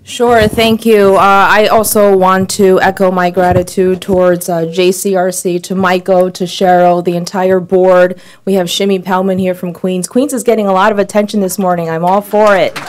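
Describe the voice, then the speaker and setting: A woman's voice: mid-range voice, little pitch movement, normal speed, hardly any pauses, slight background noise. A woman giving friendly, lightly joking remarks at a podium, with frequent um fillers. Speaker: congresswoman speaker. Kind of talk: speech at a podium.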